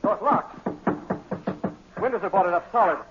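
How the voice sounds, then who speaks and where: An American man playing a determined, grave gentleman crime-fighter in a 1940s radio drama. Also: high-pitched, measured pace, loud music behind the voice. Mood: urgent. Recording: noisy muffled 1940s radio recording.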